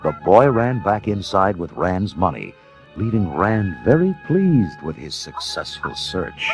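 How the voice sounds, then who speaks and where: A man narrating a scripted storybook as a dramatic, measured storyteller. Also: sits low, very animated, rough recording. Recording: music under the narration. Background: music.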